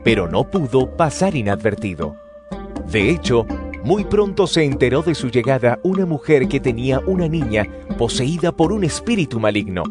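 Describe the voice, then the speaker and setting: A man's voice: medium pitch, very animated, normal speed, music in the background. A man narrating scripture from a script for an audio drama.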